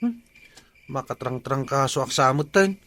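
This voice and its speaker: A man's voice: mid-range voice, a little slow, a bit bright, lightly acted. A man playing a nervous, superstitious young sidekick watchman in an Ilocano comedy radio drama, with an Ilocano accent. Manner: nervous, deferential. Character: timid, superstitious junior village watchman, easily spooked.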